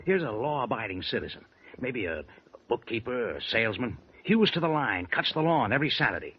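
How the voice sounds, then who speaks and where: An actor playing a brash, fast-talking male newspaperman in a 1940s radio drama. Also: sing-song, breathy edge. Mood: pitching. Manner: pushy, salesman-like pitching. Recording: dull old radio broadcast.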